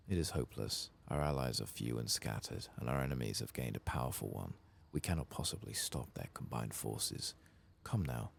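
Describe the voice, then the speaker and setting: Casting: man as ashamed exiled elf, grave and formal, very low creaky soft delivery, fantasy game dialogue. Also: a little hiss or hum, heavily acted. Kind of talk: game quest dialogue read aloud softly.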